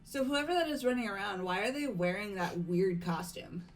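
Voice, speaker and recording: A woman playing an earnest, brisk teenage girl in a fan game dub, with a direct delivery. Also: medium pitch, animated, lightly acted. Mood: serious. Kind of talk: amateur game voice acting.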